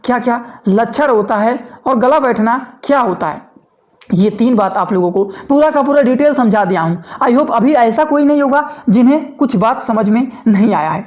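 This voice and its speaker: A man giving a fast, loud video lecture to medical students, repeating points and often checking that listeners have understood. He sounds slightly hoarse. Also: extremely high pitch, animated, highs cut off. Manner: repetitive, often asking "understood?", mixing English terms into Hindi; talks loudly and fast. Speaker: medical educator.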